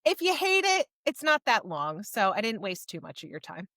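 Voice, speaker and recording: A woman's voice: medium pitch, sing-song, normal speed, sharp tone, no room sound, studio quality. A woman hosting a podcast in casual, informal chat, speaking with gushing emphasis. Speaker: podcast host. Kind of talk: casual book discussion chat.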